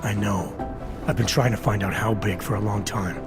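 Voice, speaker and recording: A man acting as a defiant special agent in a video game. His voice sits on the low side, and he talks in a terse, controlled, dry way. Music plays clearly behind him. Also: steady tone, moderate pace, lightly acted. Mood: accusing.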